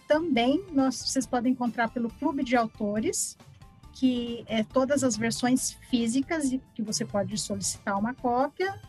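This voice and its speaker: Higher-voiced Brazilian woman, chatty and encouraging. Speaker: interview guest, author and art teacher. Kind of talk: casual interview answers.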